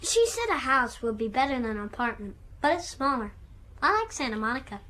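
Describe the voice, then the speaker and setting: A man's voice: extremely high pitch, very animated, fairly quick, bright, forceful tone, heavily acted. A young male actor playing an anxious ten-year-old boy, hesitant and plain-spoken in a radio drama. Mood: homesick. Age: child. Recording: noisy ambient background.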